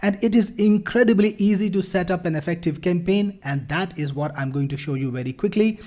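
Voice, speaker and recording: A man presenting a promotional software walkthrough in an explanatory, salesy manner. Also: high voice, a little fast. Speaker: product demonstrator.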